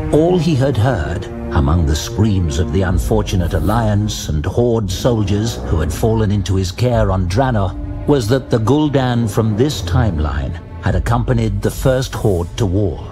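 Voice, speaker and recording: A man narrating a fantasy audio drama in a measured, deliberate, suspenseful storytelling style. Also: on the low side, animated. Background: music.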